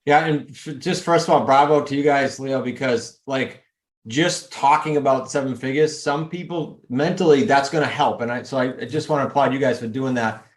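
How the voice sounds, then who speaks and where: New England man in an echoey room. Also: moderate pace. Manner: energetic, pitching steps and links. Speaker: podcast guest. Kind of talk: casual interview talk.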